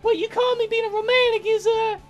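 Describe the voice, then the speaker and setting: A man voicing a dramatic, romantic young woman songwriter from a visual novel in a gushing falsetto. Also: fairly even intonation, brisk, highs cut off, soft background music. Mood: wistful, dramatic. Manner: melodramatic, gushing.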